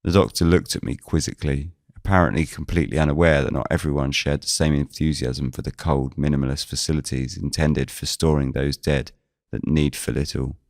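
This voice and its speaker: Very deep-voiced male narrator. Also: steady tone, fairly quick, creaky, darkish tone, no echo, clean studio sound. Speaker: narrator and lead of an audio drama. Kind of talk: scripted first-person mystery narration.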